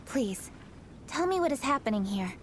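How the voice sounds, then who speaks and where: A woman playing a calm young traveling adventurer in a video game, speaking in a measured, polite way. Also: high-pitched, slight background noise. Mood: inquiring.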